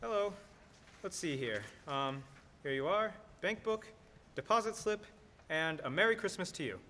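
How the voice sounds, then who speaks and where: A young man acting a high-pitched, flustered old uncle. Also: lively intonation, moderate pace, pushed and bright. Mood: absent-minded. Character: flustered, forgetful old uncle at a small-town bank. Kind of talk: old-time radio play acting. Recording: noisy, reverberant stage recording.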